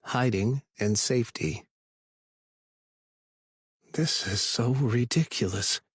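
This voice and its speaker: A man's voice: mid-range voice, takes it slowly, slightly rough, dry recording, studio quality. A man playing an obsessive, possessive stalker in a thriller audiobook, narrating in the first person with brooding, self-absorbed intensity. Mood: frustrated, scheming. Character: obsessive, possessive male stalker, frustrated and scheming.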